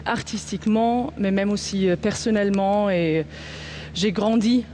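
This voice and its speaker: A woman's voice: medium pitch, steady tone, unhurried, a little hiss or hum. Hesitant Egyptian opera singer, a woman. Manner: hesitant, warm, laughs easily. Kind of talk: live interview answers. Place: outdoors at a live broadcast.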